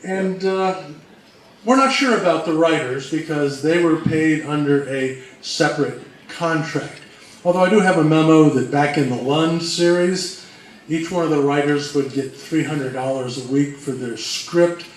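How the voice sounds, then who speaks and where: A man co-presenting a measured, informational talk. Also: on the high side. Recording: very echoey, distant mic, faint noise.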